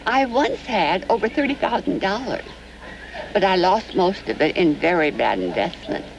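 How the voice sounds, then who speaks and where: A woman's voice: medium pitch, sing-song, normal speed, creaky, lo-fi sound. A woman in her sixties playing a rueful, plain-spoken retired lady in a testimonial TV commercial. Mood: rueful, advising. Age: 60s. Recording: noisy 1970s off-air TV tape.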